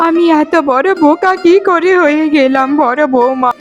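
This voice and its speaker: A high-pitched elderly mother-in-law acted by a woman from a cartoon morality tale. She is emotional and righteous, dramatic in delivery, and repeats words for weight. Faint music plays underneath. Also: moderate pace, dull audio, close to an everyday voice. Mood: remorseful. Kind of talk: Bengali animated moral-story voice acting.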